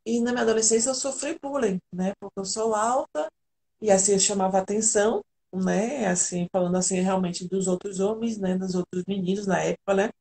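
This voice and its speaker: A woman's voice: mid-range voice, a little fast, mellow. A woman from Argentina, an integrative therapist, telling her personal story earnestly and candidly as a guest on a live video call.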